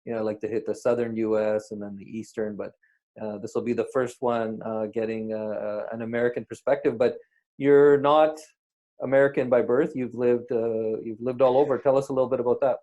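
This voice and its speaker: A man's voice: medium pitch, little pitch movement, fairly quick, mellow, lo-fi sound. A man hosting an interview podcast, speaking with frequent hesitations and fillers. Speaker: podcast host. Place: remote call.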